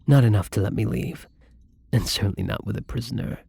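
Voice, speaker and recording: A lonely vampire acted out by a man speaks in a very breathy, husky voice with a gravelly creak. His delivery is soft, halting and wistful, pitched as intimate, tender ASMR roleplay. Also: heavily acted. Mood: sad, resigned. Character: lonely, gentle, wistful male vampire captive in castle.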